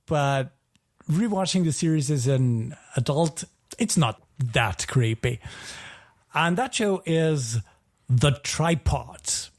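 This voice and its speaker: A man co-hosting a podcast in a casual style, picking his words haltingly. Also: fairly high pitch, expressive. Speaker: podcast co-host.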